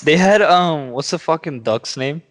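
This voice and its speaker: A man's voice: a little high, expressive, moderate pace. A man co-hosting a podcast, chiming in casually with short, slangy remarks among friends. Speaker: podcast co-host.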